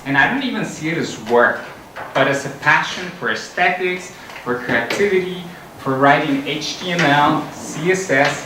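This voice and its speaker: Middle-aged guy from costa rica giving a conference talk, earnest, lots of ums, kinda high voice, far off in a big echoey hall. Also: background noise. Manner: earnest, with frequent um fillers. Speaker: conference speaker.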